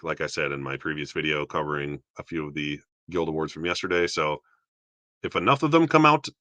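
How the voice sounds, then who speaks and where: A young man with a deep voice casually rambling about awards results, self-deprecating and chuckling now and then. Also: bright, forceful tone, no room sound. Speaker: YouTube film awards commentator. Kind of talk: casual solo commentary.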